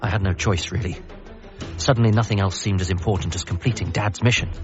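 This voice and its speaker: Actor as frantic, paranoid young-at-heart son. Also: male voice, lowish voice, steady tone, fast, muffled recording, music in the background, lightly acted. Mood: earnest, urgent. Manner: earnest, conspiratorial, self-deprecating. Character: frantic, paranoid son convinced he must save Earth. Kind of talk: radio comedy drama, first-person narration and dialogue.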